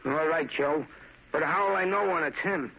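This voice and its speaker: A man playing a shell-shocked, simple-minded young war veteran in an old radio drama, childlike, obedient and earnest, with a creaky voice. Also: a little high, normal speed. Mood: eager, obedient. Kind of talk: 1930s radio drama acting. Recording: noisy, dull old radio recording.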